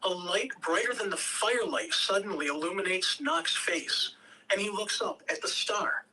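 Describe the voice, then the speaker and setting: Bright-voiced reader of screenplay directions, slightly distant. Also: medium pitch, animated, normal speed. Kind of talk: scripted narration at a table read. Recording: distant mic, faint background noise.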